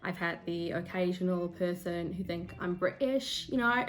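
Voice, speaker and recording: A woman's voice: on the low side, moderate pace, low-quality recording. A young woman from South Africa with a South African accent, her first language Afrikaans, chatting casually and self-deprecatingly to camera as a book vlogger, with frequent ums. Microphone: phone or camera mic at desk.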